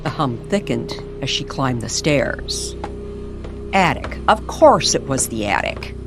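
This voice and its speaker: A woman narrating a mysterious story in old-time radio style, deliberate and suspenseful, with dramatic pauses. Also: rumbling low, sing-song, creaky. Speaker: co-narrator of an audio story series. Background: music under the voice.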